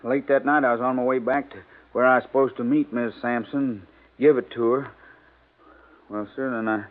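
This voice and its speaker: An actor playing a nervous, deferential ex-convict servant, hesitant and folksy, in a 1950s radio drama. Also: male voice, mid-range voice, fairly even intonation, normal speed, narrow, tinny audio, close to an everyday voice. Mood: earnest, anxious. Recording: band-limited old 1950s radio recording.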